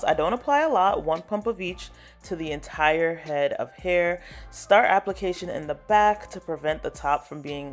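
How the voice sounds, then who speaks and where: A woman hairstylist narrating her salon vlog in a casual, chatty way, walking viewers through her steps. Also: on the low side. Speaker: natural hairstylist.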